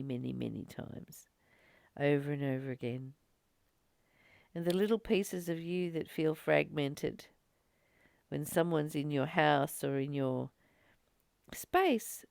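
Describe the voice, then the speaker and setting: A woman giving an informal spiritual talk as a teacher, earnest, phrasing things with deliberate, emphatic pauses. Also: sits low, gravelly, darkish tone, clear, resonant tone, dry recording. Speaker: spiritual teacher leading a daily course study.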